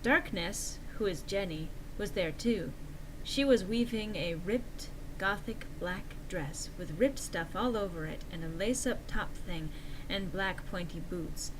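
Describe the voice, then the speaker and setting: A woman's voice: lowish voice, measured pace, noisy background. A woman giving a theatrical, exaggerated, mock-earnest dramatic reading of fan fiction as narrator.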